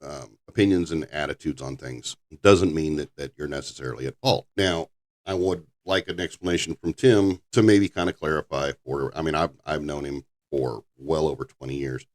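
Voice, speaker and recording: Very deep-voiced older man chatting. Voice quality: very low voice. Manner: rambling, halting with restarts. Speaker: podcast host. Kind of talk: casual chat.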